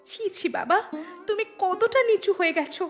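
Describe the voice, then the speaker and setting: Very high-voiced earnest village girl played by an actress. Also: moderate pace. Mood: hurt, reproachful. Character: earnest, principled young village daughter. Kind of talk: animated cartoon voice acting. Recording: music clearly behind the voice.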